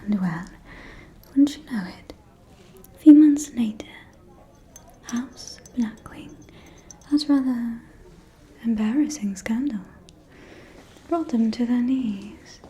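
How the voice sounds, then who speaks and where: A woman playing a gossiping, catty aristocratic vampire lady in a whispered ASMR roleplay, with a breathy, confiding, arch delivery. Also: a little high, mellow. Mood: wry, dismissive. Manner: slow, confiding, arch, drawling.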